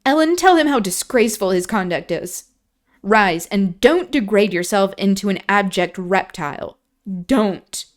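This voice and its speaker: A woman's voice: mid-range voice, animated, a little slow, close to an everyday voice. Passionate, scornful young woman, impassioned scolding, from a woman reader. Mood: upset.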